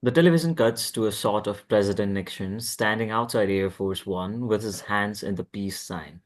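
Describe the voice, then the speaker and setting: A man's voice: on the low side, normal speed. A man narrating the stage directions of a screenplay at a table read.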